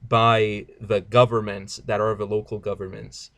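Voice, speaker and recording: A man from Germany who speaks English as a non-native language, calmly explaining political ideas in a casual chat and pausing often. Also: on the low side, dry recording. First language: not English. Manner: earnest, explains at length with hesitations.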